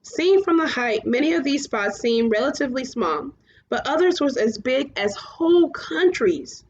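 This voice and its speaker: A woman reading a storybook aloud as narrator, a little haltingly, stumbling over words now and then. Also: high-pitched, big pitch swings. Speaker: story reader. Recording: muffled, clearly noisy home recording.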